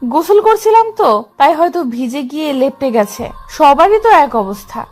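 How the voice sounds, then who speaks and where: A woman's voice: high-pitched, very animated, normal speed, darkish tone, faint music behind. A woman playing a bossy, possessive young wife, scolding yet affectionate, in a dramatized romantic audio story. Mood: defensive. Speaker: female lead of an audio love story.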